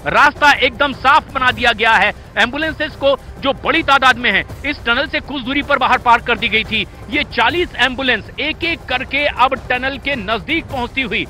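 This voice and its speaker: A man's voice: extremely high pitch, normal speed, hardly any pauses, pushed and bright, soft background music, poor audio. A man anchoring live breaking news in an urgent, emphatic manner, often repeating phrases for weight.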